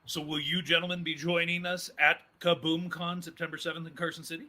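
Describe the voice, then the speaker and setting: A man's voice: a little high, flat delivery, fairly quick, bright, forceful tone. A man hosting an interview show in casual chat, with an informal, joking manner. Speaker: host of an audio drama interview show.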